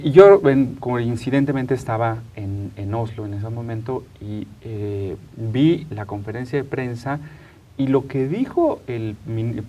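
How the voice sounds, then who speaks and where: A man with a Mexican accent hosting a studio interview, speaking conversationally and haltingly as he searches for his words. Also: lowish voice, a little mellow, a little hiss or hum. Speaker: talk show host and interviewer.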